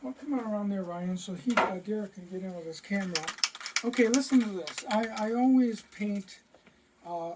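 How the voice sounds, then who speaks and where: A man's voice: high voice, moderate pace, heavy background noise. Chatty man demoing in a workshop. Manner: chatty, explains step by step. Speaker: hobby modeler demonstrating techniques. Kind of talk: casual hands-on tutorial. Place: basement workshop with paint booth.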